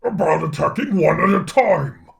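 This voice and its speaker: Gravelly symbiote creature for storytelling: menacing, sardonic ally, slightly off-mic. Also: male voice, high voice, expressive, normal speed, far from the mic, a crowd in the background, pitched above the performer's own voice, full character voice. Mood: grave, insistent. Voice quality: gravelly, growling.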